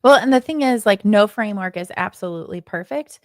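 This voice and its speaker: A woman's voice: medium pitch, animated, fairly quick, dry recording, studio quality. A woman with a Southern US accent hosting a casual tech podcast, talking in a chatty, upbeat way full of little fillers. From Nashville, southern US. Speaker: podcast host.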